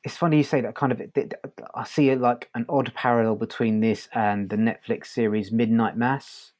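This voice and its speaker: A man co-hosting a podcast, chatting casually about a review and thinking aloud in a halting way. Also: mid-range voice, steady tone, darkish tone. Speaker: podcast co-host reviewing audio drama.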